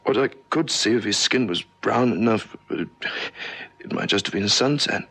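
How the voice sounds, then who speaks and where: An actor playing a shaken, apologetic young newspaper reporter in a radio drama, speaking haltingly with stammered repeats. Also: male voice, on the low side, expressive, creaky, a bit bright, husky, heavily acted. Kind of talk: 1970s radio drama acting.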